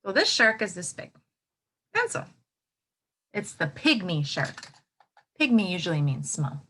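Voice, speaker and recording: Female teacher for a children's read-aloud: very lively pitch, unhurried delivery, expressive, explains things simply as she goes.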